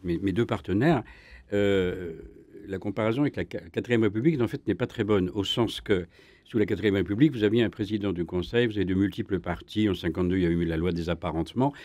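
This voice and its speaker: A man speaking as a historian guest in a radio debate, emphatic and full of restarts, his pitch rising when he presses a point. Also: fast, a little mellow, no room sound. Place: radio studio.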